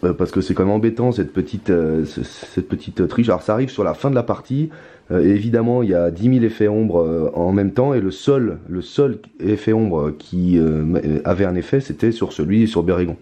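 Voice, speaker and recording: A hoarse man talking his way through a solo card game in hesitant, mumbled commentary. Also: low voice, normal speed, warm tone. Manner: hesitant, mumbling, many restarts, muttering to himself. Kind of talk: casual solo gameplay commentary. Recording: roomy echo, faint ambience.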